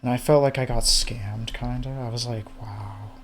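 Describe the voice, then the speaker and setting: A man's voice: medium pitch, fairly even intonation, moderate pace, slight background noise. A man of about thirty chatting casually, hesitant, often trailing off with fillers. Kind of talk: casual chat.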